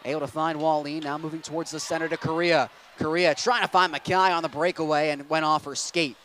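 Young guy doing hockey play-by-play, kinda high voice, faint hiss behind. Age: young adult. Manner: rapid, steady calling that spikes into excited shouting on goals. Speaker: play-by-play announcer.

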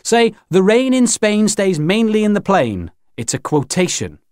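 A man's voice: sits high, sing-song, unhurried, a little mellow, no echo, studio quality, pitched above the performer's own voice. A man playing a determined young boy who speaks in terse, stern commands in a story reading. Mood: stern insistence.

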